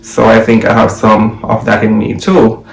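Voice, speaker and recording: A young man from Jamaica, talking excitedly to camera from a home office and repeating the numbers he reads out. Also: lowish voice, moderate pace, gravelly, far from the mic. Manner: excited, exclaiming, repeating figures. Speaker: vlogger. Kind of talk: casual video commentary. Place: home office room, indoors. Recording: echoey room, voice from afar.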